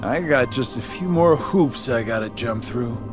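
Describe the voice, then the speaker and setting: A man's voice: mid-range voice, lively intonation, measured pace, natural voice. An actor playing a sly, mocking adult male villain in a game cutscene, taunting and sarcastic in a drawling way. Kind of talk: video game cutscene acting. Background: game soundtrack music.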